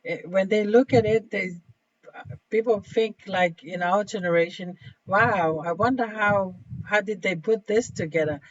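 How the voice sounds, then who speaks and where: A woman's voice: medium pitch, measured pace, no room sound, highs cut off. A woman co-hosting a casual discussion, chatty and full of fillers. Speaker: co-host.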